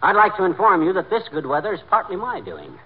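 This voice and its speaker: An actor playing a boastful, folksy middle-aged American husband in a cocky, slangy 1940s radio comedy style. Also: male voice, high voice, wildly swooping pitch, fast. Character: brash, boastful, folksy husband, small-town big talker. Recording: muffled, phone-like 1940s radio recording.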